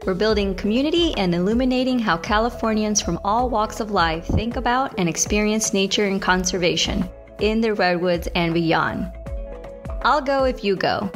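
A woman from Ecuador who grew up in North Carolina, hosting a podcast with introductions and conversation. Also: medium pitch. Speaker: podcast host.

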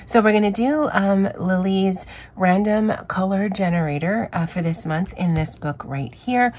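A hoarse woman with a scratchy voice hosting a chatty, rambling coloring vlog. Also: medium pitch, unhurried, darkish tone. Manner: chatty, rambling, with fillers and self-corrections. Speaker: craft channel host. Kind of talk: casual coloring vlog chat.

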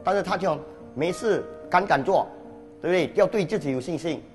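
Quick fifty-year-old man over music. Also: on the high side, darkish tone. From Singapore. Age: 50s. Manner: plain, earnest talk. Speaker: factory worker. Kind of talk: documentary interview. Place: factory storeroom with shelves.

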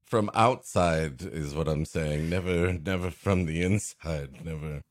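A man with a slightly low voice and an unhurried pace that still swings widely in pitch. He riffs casually as a comedy podcast co-host. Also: animated, no room sound, studio quality. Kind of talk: casual comic riffing and interjections.

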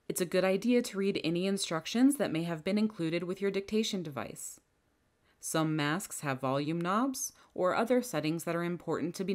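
A woman giving a clear, step-by-step software tutorial over a screen recording, speaking into a close microphone. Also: fairly low pitch. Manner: clear, step-by-step instructional delivery. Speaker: software trainer. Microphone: headset or bench microphone.

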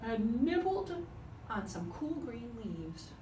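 A woman narrating a folk tale expressively and deliberately, with drawn-out phrases. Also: a little high, sing-song, noisy background. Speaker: storyteller. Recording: echoey room, distant microphone. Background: live cello playing.

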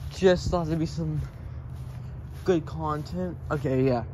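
A man's voice: fairly high pitch, slow pace, darkish tone. Rambling young man on noisy phone audio. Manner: rambling, offhand. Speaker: vlogger. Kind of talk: casual off-the-cuff house tour narration. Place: walking through a house. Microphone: handheld phone. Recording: noisy handheld phone recording with clicks.

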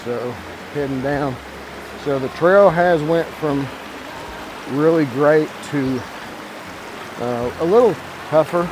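A man's voice: on the high side, takes it slowly, pauses often. A man, hosting a hiking vlog in a casual, easygoing way on a wooded trail, filming himself with a phone at arm's length. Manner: folksy, easygoing, talks while walking. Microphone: phone camera at arm's length. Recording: outdoor noise, stream and wind behind.

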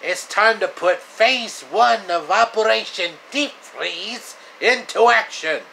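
A man acts out a scheming cartoon supervillain, pompous and icy. The voice is raised well up and pushed loud, declaiming grandly like a master plotter. Steady air-conditioner noise sits behind it. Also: high voice, takes it slowly, gravelly, pitched above the performer's own voice, heavily acted, low-quality recording. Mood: gloating, commanding. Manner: theatrical, declamatory.

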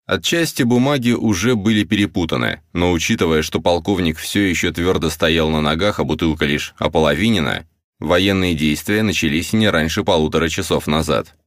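A man's voice: low-pitched, brisk, no echo. A man narrating a novel as a scripted audiobook, close to the microphone.

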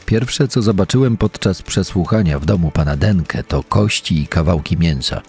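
An actor playing a clinical coroner reading a grim report, detached and measured, with a dark, husky voice. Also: male voice, low voice, soft background music. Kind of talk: true-crime audio dramatization.

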